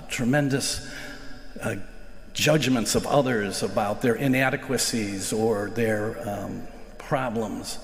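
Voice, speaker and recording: Older American priest at a lectern, earnest and reflective homily, mid-pitched voice, roomy church reverb. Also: male voice. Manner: earnest, reflective, slows to stress key phrases. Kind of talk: sermon. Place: large church sanctuary, indoors. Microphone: lectern microphone. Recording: echoey church livestream with long reverb.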